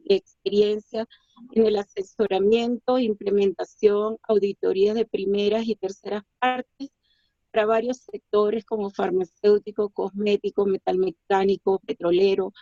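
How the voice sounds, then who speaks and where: Woman auditor presenting online; measured and hesitant, dull dry audio. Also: medium pitch, dry recording. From Colombia. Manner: steady explanatory delivery with frequent hesitations. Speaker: quality management consultant and auditor. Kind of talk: webinar presentation.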